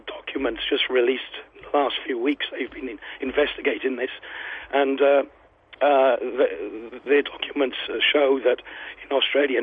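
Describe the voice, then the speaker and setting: A hoarse man who is losing his voice, speaking haltingly as a guest over the phone on a radio talk show. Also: medium pitch. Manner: halting, many ums and restarts. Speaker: phone-in guest, lobby group founder. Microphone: telephone. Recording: tinny phone line.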